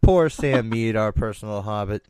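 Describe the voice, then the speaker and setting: A man's voice: fairly low pitch, moderate pace, darkish tone, clear, resonant tone, no echo. A man hosting a casual podcast chat, cracking crude jokes and swearing freely in loose banter. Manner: profane, jokey banter. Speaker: podcast host.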